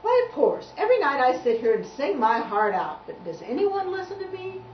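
A woman's voice: sits high, very animated, a little fast, roomy sound, far from the mic, lo-fi sound, a little hiss or hum, voice pushed up. Woman putting on bluff, chatty bullfrog. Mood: friendly, self-pitying. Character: friendly, chatty, slightly hurt male bullfrog.

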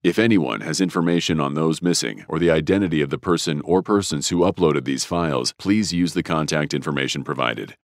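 A man reading a formal, measured scripted disclaimer as an announcer. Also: very deep voice, dry recording, clean studio sound.

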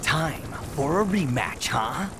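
A man's voice: on the high side, animated, slow pace. An actor playing a cocky, flippant young henchman in a video game, taunting his enemies with a drawl. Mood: mocking. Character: cocky, flippant male villain henchman, lanky young fighter. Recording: noisy machinery behind.

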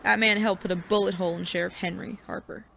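An actor playing a cocky, foul-mouthed young sheriff in a Western audio drama, with a creaky voice and folksy, combative frontier talk. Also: medium pitch, steady tone, fast talker, sharp tone, a little hiss or hum.

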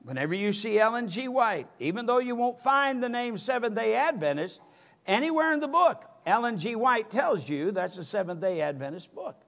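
Older man, pastor, high-pitched, emphatic sermon, church sanctuary, pulpit mic. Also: very animated, normal speed, fairly bright tone. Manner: emphatic preaching, gesturing at a pulpit. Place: church sanctuary with empty pews.

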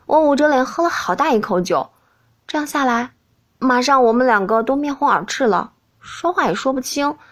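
A woman reading a novel as an expressive audiobook narrator. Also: sits high, normal speed.

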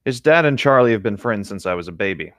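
A man's voice: on the low side, animated, fast talker, fairly bright tone, no echo, clean studio sound. A man chatting casually and reading a novel aloud with friends as a podcast co-host on a voice call. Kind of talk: casual chat and group read-aloud of a novel. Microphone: close mic on an online voice call.

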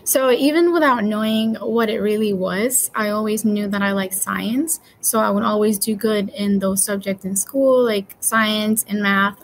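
A woman's voice: mid-range voice, poor audio. A woman in her twenties from Puerto Rico, a veterinary student answering interview questions in a cheerful, earnest way.

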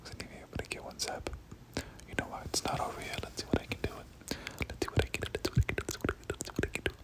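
A gaming creator giving ASMR game commentary in a breathy whisper, often repeating words in soft rhythmic runs. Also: quick pace.

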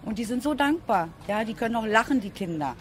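A woman's voice: a little high, animated, quick pace. A middle-aged woman stopped on the street, answering firmly into a handheld mic. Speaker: passer-by. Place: town street outdoors. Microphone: interviewer's handheld mic. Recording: outdoor street noise.

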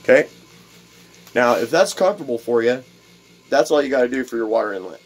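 A man's voice: mid-range voice, animated, fairly quick, husky, soft background music. A man in a cluttered home workshop, explaining a build step by step in a casual, rambling way, with plenty of fillers and repeated words. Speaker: DIY video host. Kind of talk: casual workshop how-to demonstration.

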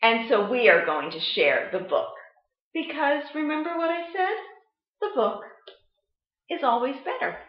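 A woman in her thirties hosting a children's storytime, with an expressive, sing-song and deliberate teaching delivery, recorded indoors on a webcam mic. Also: high voice, faint music behind. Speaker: children's librarian storytime host. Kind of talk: children's storytime read-aloud. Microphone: webcam or laptop mic at arm's length. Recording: roomy, slightly dull webcam sound.

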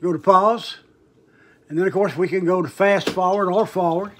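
A man's voice: high-pitched, fairly even intonation, moderate pace, faint music behind. A man giving a casual, folksy product demonstration as a seller, explaining in a hesitant, rambling way. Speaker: online seller demonstrating vintage audio gear.

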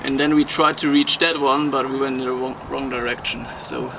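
A man's voice: mid-range voice, flat delivery, brisk, creaky, over a noisy crowd. A man casually telling friends about a hike on a road trip. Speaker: travel companion. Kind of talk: casual travel chat. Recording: very noisy outdoor camera audio.

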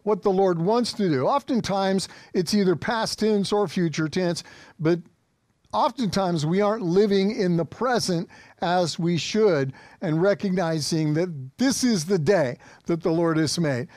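American guy preaching to an online church, pretty high voice, repeats stuff for emphasis, dry close sound. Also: unhurried, a little mellow, no echo. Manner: emphatic repetition, exhorting. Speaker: pastor. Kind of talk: sermon to an online congregation. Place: small indoor church studio set.

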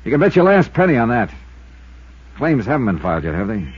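Lively hard-boiled 1950s radio investigator. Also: male voice, medium pitch, brisk, husky. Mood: brisk, firm. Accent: American. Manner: clipped, dry, hard-boiled. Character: tough, wry male insurance investigator narrating his own case. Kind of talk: 1950s radio detective drama acting and narration. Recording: dull lo-fi 1950s radio recording.

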